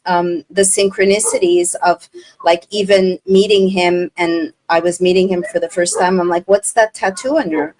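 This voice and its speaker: A woman's voice: on the low side, steady tone, moderate pace, a little mellow, poor audio. A woman from Montreal, not a native English speaker, hosting an interview in an earnest, effusive way. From Montreal, Canada. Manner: effusive, earnest, retells dialogue. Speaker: interview host. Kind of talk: casual interview chat.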